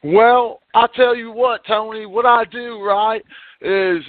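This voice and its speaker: A man's voice: high-pitched, fairly even intonation, slow pace, no echo. A man calling a radio show, talking in a rambling, stammering, folksy way that turns taunting. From Alabama. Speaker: caller. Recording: dry, tinny phone line.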